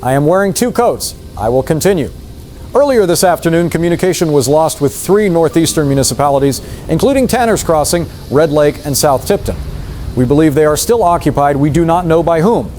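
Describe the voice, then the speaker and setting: Highish, formal emergency news anchor played by a man. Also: expressive, a little fast, darkish tone, soft background music, lightly acted. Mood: grave, controlled. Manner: clipped, formal, restrained. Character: grave, dutiful male news anchor during apocalypse.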